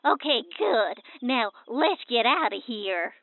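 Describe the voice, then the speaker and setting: An excitable wife hunting UFOs, bossy and insistent. Her high voice swings widely as she hushes and presents to camera. It all comes through thin, phone-like camcorder audio, as comedy radio drama. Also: slow pace, no room sound, heavily acted. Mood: excited, defiant. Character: bossy, excitable amateur UFO hunter wife filming a video.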